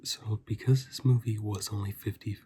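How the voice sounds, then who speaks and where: A man giving a softly spoken, often whispered ASMR review in a casual, hushed, breathy voice, repeating phrases for emphasis. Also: fairly low pitch, fairly even intonation, normal speed, slight background noise. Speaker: video host and reviewer.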